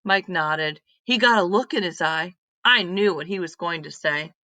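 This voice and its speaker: A woman reading a children's story aloud in an expressive, deliberate manner. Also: fairly low pitch. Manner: deliberate, animated reading with emphatic exclamations.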